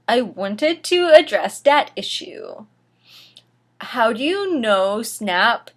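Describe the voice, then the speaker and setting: A petulant teenage girl author acted by a woman argues with her readers in a fairly high voice. She sounds defensive and takes her time over the words. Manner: petulant, argumentative.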